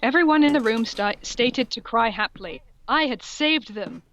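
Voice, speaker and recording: A woman's voice: on the high side, animated, moderate pace, fairly bright tone. A woman co-hosting a podcast, reading fan fiction aloud in a mock-dramatic way and breaking off for amused asides. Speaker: podcast co-host.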